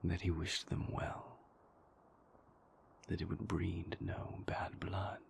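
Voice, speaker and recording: Brooding male vampire lover for intimate audio roleplay, acted by a man: very low, breathy and whispered, halting, conflicted, world-weary. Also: level tone, heavily acted. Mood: somber, measured. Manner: halting, deliberate, confessional, formal wording.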